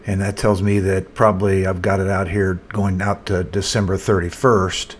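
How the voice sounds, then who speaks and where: A man with a very low voice talks through charts as a market analyst. His delivery is casual and unscripted, halting as he thinks aloud. Clear background noise sits behind the voice. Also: little pitch movement.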